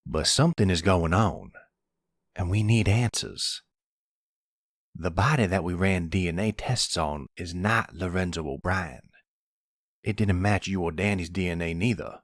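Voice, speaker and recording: Man acting deep, husky older mobster grandfather. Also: unhurried, no room sound, clean studio sound. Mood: calm, probing. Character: older mobster grandfather, steady, protective, probing. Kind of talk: audio roleplay drama.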